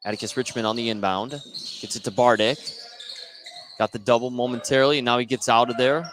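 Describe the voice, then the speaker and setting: An American man calling a high school basketball game live as a radio play-by-play announcer. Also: fairly even intonation, a little fast. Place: courtside in a basketball gym. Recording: clearly noisy gym with bouncing ball.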